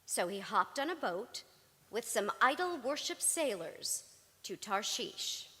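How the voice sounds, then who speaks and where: A woman's voice: a little high, big pitch swings, slow, pushed and bright, breathy edge. A woman performing dramatic spoken word, with emphatic, rhetorical delivery. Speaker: reader in a staged ensemble performance. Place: large synagogue hall, live performance. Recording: reverberant hall.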